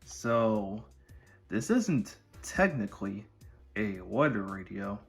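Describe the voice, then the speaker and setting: A man speaks in a low voice with plenty of vocal fry. He narrates a casual showcase, putting his sentences together in a halting, wordy way. Faint music plays behind him. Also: a bit bright. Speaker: video creator presenting a collection.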